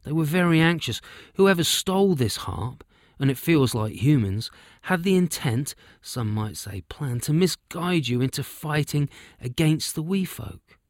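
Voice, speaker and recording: A man voicing a wise older man explaining calmly and slowly in a storybook reading. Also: on the high side, no echo, clean studio sound, voice pushed up. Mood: grave. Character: wise old man, calm seer.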